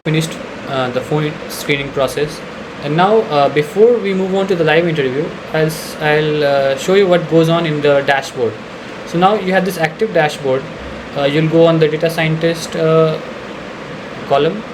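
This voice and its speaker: Male demo presenter, fairly high voice, halting screen-share narration, clear background hum. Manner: hesitant, halting delivery with frequent uhs.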